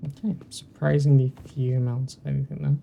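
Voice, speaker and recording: A southern English man chats through casual game commentary in a mellow, creaky voice. He is self-deprecating, recorded on a poor mic with faint noise. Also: medium pitch, level tone, a little fast. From southern England. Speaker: host of a gaming series. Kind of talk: casual let's play commentary. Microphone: poor-quality mic with uneven levels.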